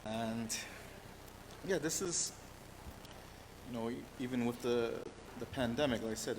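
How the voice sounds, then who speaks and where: A middle-aged man from New York City answering interview questions in an earnest, halting way, full of restarts and "you know"s. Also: mid-range voice. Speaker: musician interviewed as guest. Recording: long reverb, faint background hiss.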